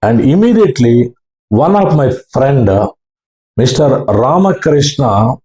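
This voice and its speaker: A man with an Indian English accent speaks slowly in a roomy, echoey space. His phrasing is formal and halting, with a mellow tone, as he tells a first-person account. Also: medium pitch, animated. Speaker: ham radio operator recounting experiences. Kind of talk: slow first-person storytelling talk.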